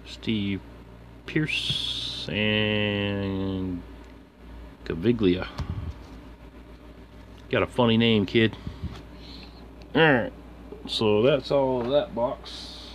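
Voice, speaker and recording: A man's voice: fairly low pitch, drawn-out words, pauses often. A man streaming about his card hobby, talking in a casual, slangy, laid-back mutter as he names items one by one. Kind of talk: casual chat while sorting through cards. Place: indoors at a desk with a computer. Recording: steady background room noise.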